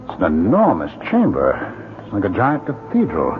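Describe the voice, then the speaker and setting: Expressive, low-voiced expedition leader. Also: male voice, normal speed, soft background music. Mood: awed, commanding. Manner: theatrical, earnest. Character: commanding male expedition leader, an awed, reasoning husband. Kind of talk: 1970s radio drama acting. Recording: tinny band-limited radio recording.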